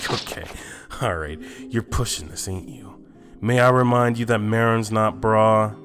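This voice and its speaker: Man acting weary, low-voiced protective father. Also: fairly even intonation, measured pace, over music, natural voice. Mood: defensive. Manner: guarded, reluctant, dismissive. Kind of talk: fan-made anime drama acting.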